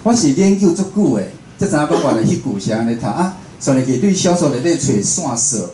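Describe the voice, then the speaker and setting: Casting: male radio host, Taiwanese accent, mellow tone, chatty lecture delivery, roomy sound with faint noise. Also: on the high side, sing-song, fast. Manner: conversational, asks the listeners rhetorical questions. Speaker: radio host and station director giving a talk. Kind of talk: informal spoken lecture. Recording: echoey room with faint background noise.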